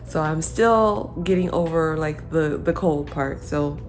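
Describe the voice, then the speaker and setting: A woman in her thirties, a parent talking casually to camera while getting over a cold, sounding congested and thinking out loud with many pauses. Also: low voice. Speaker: vlogger, parent.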